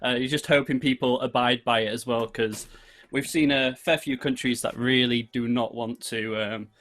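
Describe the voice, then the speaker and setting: A man's voice: medium pitch, steady tone, normal speed, a bit bright. British man hosting a casual podcast. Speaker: podcast host. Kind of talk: casual chat.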